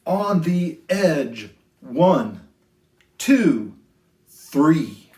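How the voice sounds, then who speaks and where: A man hosting a children's storytime, reading picture books aloud in an expressive, sing-song way with drawn-out phrases. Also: fairly high pitch. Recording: roomy, echoey indoor sound.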